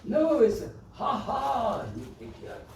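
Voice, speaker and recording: A man's voice: extremely high pitch, huge pitch swings, slow pace, creaky, exaggerated voice. A man playing a pompous, scheming village squire in a pantomime, blustering and stammering. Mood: scornful. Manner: stammering, hemming and hawing bluster. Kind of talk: British pantomime stage acting. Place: live stage performance. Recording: distant roomy stage recording with background noise.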